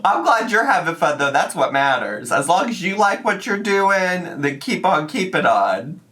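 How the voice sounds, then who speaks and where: A man chats through a reaction video in a bright, forceful voice. It is a little raspy while he gets over an illness. He keeps dropping sarcastic asides between comments. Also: high voice, big pitch swings, moderate pace. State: slightly raspy, recovering from illness. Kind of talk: casual commentary.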